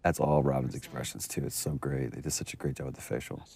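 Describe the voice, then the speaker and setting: A man's voice: a bass voice, flat delivery, quick pace, creaky, warm tone, breathy edge. A man giving casual, enthusiastic commentary as an actor looking back on his work. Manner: enthusiastic, effusive.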